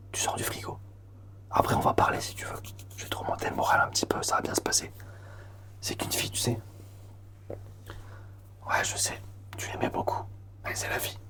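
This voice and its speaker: A young man whispering softly through a comic roleplay, slangy and teasing. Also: medium pitch.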